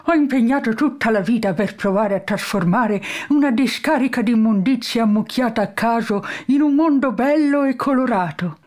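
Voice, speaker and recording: A woman's voice: on the high side, normal speed, hardly any pauses, a little mellow, lightly acted. Woman putting on a boisterous toothless old man. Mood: wistful. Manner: boisterous, rhyming, exclaiming. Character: eccentric old toothless man living in a junkyard. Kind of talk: storybook reading.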